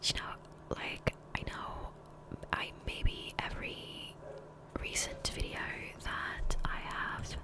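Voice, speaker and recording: A woman whispering softly and breathily in a chatty, rambling ASMR style over gameplay, close to a condenser microphone. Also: slow. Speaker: gaming ASMR creator. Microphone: close USB condenser microphone.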